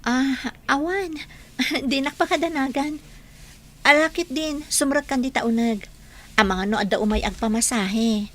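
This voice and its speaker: A woman's voice: sits high, measured pace, long pauses. A woman with an Ilocano Filipino accent playing a wistful, struggling young working woman in a radio drama. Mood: evasive, friendly. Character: wistful, struggling young masseuse named Aurelia.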